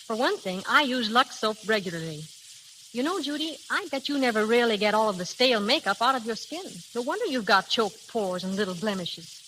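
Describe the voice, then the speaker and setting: A brisk young woman, gives friendly advice in 1930s radio ad style. Her voice is bright and forceful, over faint crackly hiss. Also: on the high side, lively intonation. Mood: advising. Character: brisk, reassuring young female movie-lot friend. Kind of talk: 1930s radio advertisement acting.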